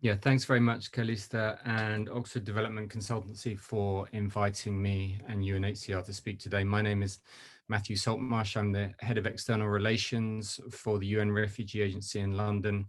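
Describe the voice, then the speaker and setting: A man with a lowish voice introduces himself in a very flat, even tone. He sounds polite and matter-of-fact. His intonation barely rises or falls as he speaks. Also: brisk, hardly any pauses. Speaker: refugee agency official. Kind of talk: brief self-introduction.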